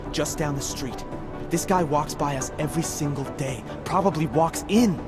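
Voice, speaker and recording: An actor playing an anxious, sardonic covert agency colleague in a video game cutscene, nervous and wry. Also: male voice, on the high side, moderate pace, music in the background, natural voice. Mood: urgent, fretful.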